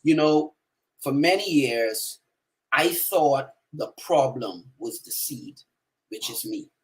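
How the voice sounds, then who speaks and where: A man as a guest in a faith-based conversation, speaking in a measured, affirming way. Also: on the high side, animated, low-quality recording. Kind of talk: casual conversation.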